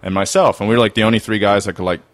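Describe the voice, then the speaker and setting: Deep-voiced man with a Maryland accent. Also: rapid-fire, dry recording. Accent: Baltimore, Maryland. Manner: rambling, animated, full of "like". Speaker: comedy writer guest. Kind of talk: casual storytelling.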